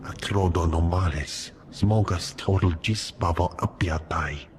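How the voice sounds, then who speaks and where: A male alien informant in a video game, his voice very low and his alien speech slow and chanting. A faint hiss runs under it. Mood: alien chatter. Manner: unhurried, chanting alien gibberish.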